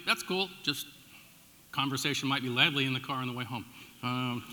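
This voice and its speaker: Bright-voiced American pastor in a reverberant hall. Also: male voice, mid-range voice. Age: middle-aged. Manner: informal, animated storytelling with emphatic swings and dropped asides. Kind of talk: conversational sermon with personal storytelling. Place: large church room, addressing a congregation. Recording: echoey hall with long reverb.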